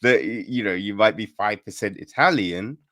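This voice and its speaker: A man's voice: fairly low pitch, normal speed, fairly bright tone. A man hosting a podcast interview, reflecting thoughtfully and giving short affirmations. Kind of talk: casual interview conversation.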